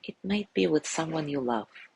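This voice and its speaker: A man guiding a sleep meditation in a gentle, soothing way, softly spoken and unhurried, with long pauses between phrases. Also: a little high, expressive, vocal fry, husky, rough recording. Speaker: meditation guide.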